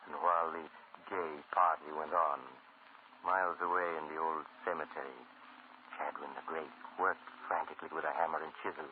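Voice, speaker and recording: Very deep, ominous radio narrator. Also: male voice. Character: ominous male storyteller of a horror tale. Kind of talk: old-time radio scripted narration. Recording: tinny, lightly noisy old radio recording.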